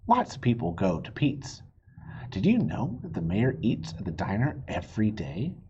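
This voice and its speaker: A man reading the part of a supportive, thoughtful mother in a children's storybook, gentle and reasoning. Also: fairly low pitch, huge pitch swings, normal speed, husky, highs cut off, voice pushed down, exaggerated voice. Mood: encouraging.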